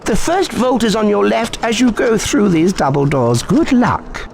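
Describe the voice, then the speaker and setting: An actor playing a formal, officious goblin bank clerk in a video game. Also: male voice, high voice, big pitch swings, measured pace, vocal fry, a little hiss or hum, exaggerated voice. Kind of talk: video game character acting.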